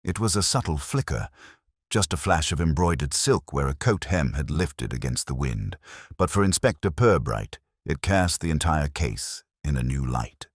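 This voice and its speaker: A man narrating a mystery story in a measured storytelling manner, with a husky voice. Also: extremely low pitch, sing-song, no room sound, clean studio sound.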